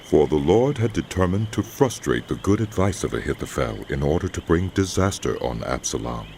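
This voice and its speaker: A man giving solemn, measured dramatic narration of scripture as a scripted audio production. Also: a bass voice, very animated, vocal fry, darkish tone, slight background noise.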